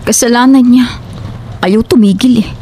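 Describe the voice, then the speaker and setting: A woman's voice: fairly high pitch, moderate pace, warm tone, a little hiss or hum, natural voice. A woman with a Filipino accent acting a firm, fed-up young woman in an audio drama. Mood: dismissive. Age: young adult.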